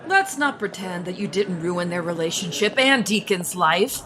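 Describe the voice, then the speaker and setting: A woman's voice: fairly low pitch, lively intonation, normal speed, a bit bright. An actress playing a haughty, middle-aged mother in an audio soap opera, speaking with controlled, cutting disdain. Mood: icy contempt. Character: haughty, sanctimonious older mother guarding her grown son. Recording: music behind the voice.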